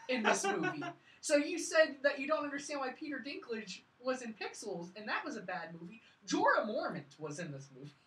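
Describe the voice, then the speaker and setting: A woman's voice: fairly high pitch, very animated, a little fast, hardly any pauses, noisy background. A woman hosting a casual film review chat, talking emphatically and repeating points to drive them home. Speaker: podcast host reviewing films. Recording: echoey room, distant from mic.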